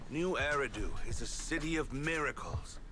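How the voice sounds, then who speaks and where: A man's voice: on the high side, moderate pace, background noise, lightly acted. An actor playing a cynical, scheming male politician in a video game, able to sound nobly declamatory or curt and irritable. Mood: earnest, declamatory. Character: cynical male politician rehearsing a noble speech, scheming behind it. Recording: dramatic music under the voice.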